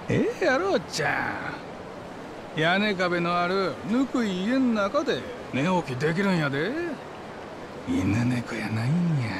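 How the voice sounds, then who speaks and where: High-pitched, swooping Kansai thug. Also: male voice, background noise, full character voice. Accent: Kansai Japanese. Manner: coaxing, snarling, aggressive. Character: swaggering yakuza thug, wheedling and bullying. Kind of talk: video game cutscene acting.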